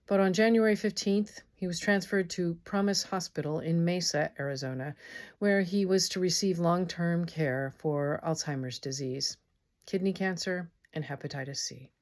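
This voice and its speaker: A woman's voice: lowish voice, normal speed, darkish tone. A woman giving a formal scripted reading for a memorial. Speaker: reader in an online remembrance video.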